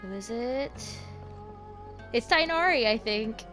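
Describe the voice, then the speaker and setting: Lively woman streamer. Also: a little high, takes it slowly, soft background music. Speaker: streamer reacting to a game. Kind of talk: casual reaction commentary.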